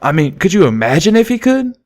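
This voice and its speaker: A man's voice: sits high, sing-song, brisk, a little mellow, voice pushed up. A man voicing a sassy, blunt mare pony in an audiobook, with folksy, slangy talk. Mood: dismissive.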